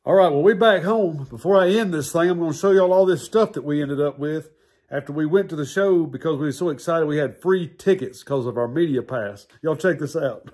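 A man's voice: fairly high pitch, brisk, a little mellow. A man, chatting casually to his viewers in an easygoing vlog, laughing often. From southern United States. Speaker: vlogger.

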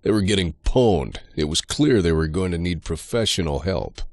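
Video game antihero narrator: low, sarcastic drifter, deadpan wit, dry studio sound. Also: male voice, moderate pace, no echo. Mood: dry sarcasm. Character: sardonic, foul-mouthed male drifter antihero narrating his misadventures.

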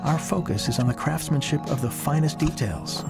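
A man reading a scripted promotional voice-over in a measured, deliberate way, pausing slowly between phrases. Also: a little high, creaky. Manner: measured, deliberate delivery with slow pauses. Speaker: corporate video narrator. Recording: voice-over under loud music.

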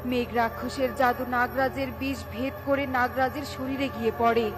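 Female storybook narrator, high and even, over loud music. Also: normal speed.

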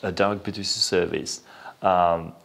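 Male interview guest, French accent, low-pitched, explains in a halting, thinking-aloud way. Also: flat delivery, gravelly, fairly bright tone. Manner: halting, thinking aloud with repeated "you know". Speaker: interview guest, venture capital investor. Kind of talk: spoken interview, explanatory talk.

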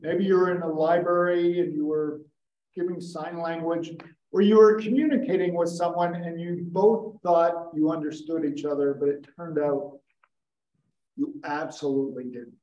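A man serving as table topics master, giving polite, brisk prompts and hand-offs at a club meeting. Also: fairly high pitch, fairly even intonation, echoey room, muffled recording. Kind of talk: meeting emcee.

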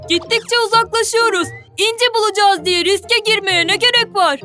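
A small, good-natured cartoon sea creature, extremely high and bright. The delivery is earnest and expressive, that of an excitable but careful youngster in a children's animation. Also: female voice, normal speed, soft background music. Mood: worried. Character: excitable, kind-hearted, cautious young yellow sea creature.

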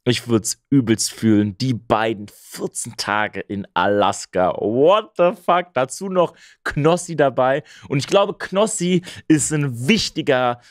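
Chatty young gamer, mid-match chatter. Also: male voice, medium pitch, lively intonation, unhurried, hardly any pauses, no room sound, clean studio sound. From Berlin, Germany. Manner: chatty and enthusiastic, rambling asides. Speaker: gaming streamer. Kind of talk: casual chat while gaming. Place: small home room at a gaming setup. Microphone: studio mic on a boom arm, wearing headphones.

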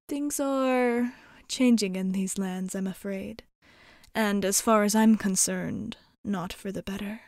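A woman playing a poised, worldly female vampire bartender in an ASMR roleplay, speaking in a measured, formal, teasing way with whispery breathiness. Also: medium pitch, a little mellow, dry recording, clean studio sound. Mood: wistful.

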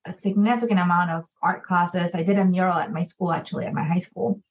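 A woman's voice: fairly low pitch, steady tone, fast. A middle-aged woman from Colombia, a native Spanish speaker, chatting informally as an interview guest. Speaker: podcast guest, physician assistant. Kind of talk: conversational interview answers. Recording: slightly dull, lo-fi sound.

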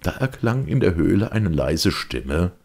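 A male audiobook narrator reads a children's tale. His voice is extremely deep and crackles with fry. The delivery is expressive, with lively rises and falls. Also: a little slow. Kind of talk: expressive scripted storytelling for children.

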